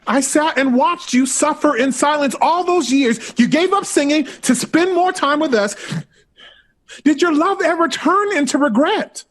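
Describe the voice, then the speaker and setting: A high-voiced performer playing a guarded, wry young adult son in an American audio drama, with a husky, earnest delivery. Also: unhurried. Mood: earnest, careful.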